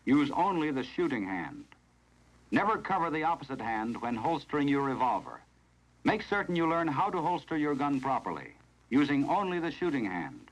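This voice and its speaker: An American man reading scripted narration in the formal, measured, instructional manner of a 1970s training film, on a vintage film soundtrack. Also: medium pitch, big pitch swings, low-quality recording.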